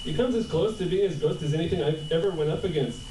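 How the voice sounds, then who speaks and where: A man's voice: on the high side, quick pace, lightly acted. A man playing a nervy, slangy small-time henchman in a live radio crime drama. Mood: nervy, doubtful. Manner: slangy, questioning, snappish. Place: convention panel room. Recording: echoey noisy convention room from far off.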